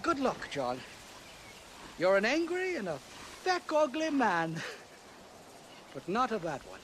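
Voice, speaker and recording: An actor playing a merry, forgetful Irish rogue in a game cutscene, rambling and hesitant with chuckles. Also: male voice, high-pitched, huge pitch swings, slow, background noise, full character voice. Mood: breezy. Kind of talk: video game cutscene acting.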